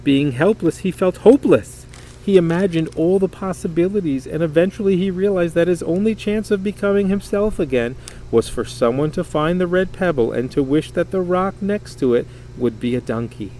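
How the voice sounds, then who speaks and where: A man reading a children's story aloud in an expressive, dramatic, emphatic way. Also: sits high, normal speed, slight background noise, rough recording. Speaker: storybook reader.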